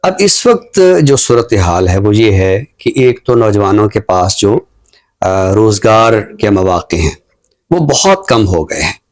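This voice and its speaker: A middle-aged man speaks in a fairly low voice with a Pakistani accent. He sounds like a thoughtful commentator in conversation, setting out his points deliberately and pausing partway through sentences. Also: very animated. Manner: explanatory, deliberate, with pauses mid-sentence. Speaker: literary talk show commentator. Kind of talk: reflective social commentary in conversation.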